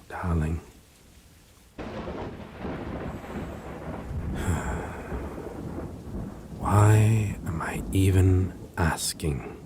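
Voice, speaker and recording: Male actor for brooding vampire, audio roleplay: deep, breathy, theatrical, possessive, ornate delivery near a whisper, over faint rain and thunder. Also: warm tone, slight background noise. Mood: cold, melancholy. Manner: formal, theatrical, ornate wording, lingering pauses. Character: possessive male vampire, menacing, wistful, aristocratic. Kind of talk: dramatic audio roleplay monologue to a listener.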